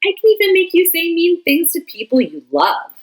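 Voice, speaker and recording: A woman voicing a hairy red creature that embodies anger, speaking gently and reassuringly. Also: extremely high pitch, huge pitch swings, moderate pace, voice pushed up, full character voice.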